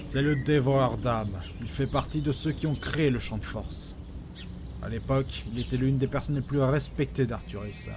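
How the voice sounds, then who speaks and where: A man's voice: mid-range voice, moderate pace, lightly acted. Man playing a proud mentor sage over noisy ambience. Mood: solemn. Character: powerful male mentor sage, proud, amused, authoritative. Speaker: actor in amateur audio drama. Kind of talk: acted audio fiction dialogue.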